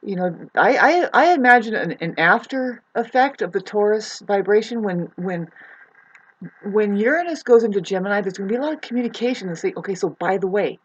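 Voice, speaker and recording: A woman with a husky voice talking casually in a meandering, halting monologue, often trailing off mid-thought. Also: medium pitch, expressive, highs cut off. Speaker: vlogger. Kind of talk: rambling spoken monologue, casual chat.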